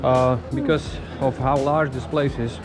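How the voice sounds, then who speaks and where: A man in his thirties vlogs casually from a handheld camera out and about in a city. His voice sits at a medium pitch. Music plays underneath. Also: flat delivery, a little slow, a crowd in the background. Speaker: travel vlogger. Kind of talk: casual vlog talk to camera. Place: outdoor city streets and busy covered bazaar. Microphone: camera held at arm's length.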